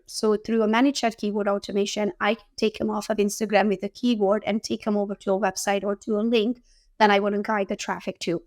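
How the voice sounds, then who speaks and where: A woman with a worn, hoarse voice that is fading on her, teaching a class about social media. She talks in a practical, casual way, often checking in with her listeners. Her voice sits at an average pitch. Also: a little mellow. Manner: practical, chatty, asks "right?" often. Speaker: social media instructor and show host.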